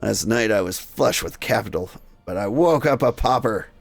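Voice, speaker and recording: A man's voice: on the low side, lively intonation, moderate pace, vocal fry, dry recording, soft background music. A man voicing a brooding, courteous Victorian minotaur with formal, wordy, old-fashioned speech in a game story. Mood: aggrieved. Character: brooding male minotaur gentleman detective, courteous Victorian drunkard.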